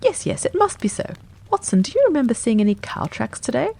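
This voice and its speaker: A woman reader plays a sharp-witted gentleman detective, cool and sure of himself. He speaks quickly and emphatically, with a lively, swooping pitch and a husky, gravelly edge. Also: heavily acted. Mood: eager. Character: brisk, keen-minded male detective, cool and confident. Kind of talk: audiobook character reading.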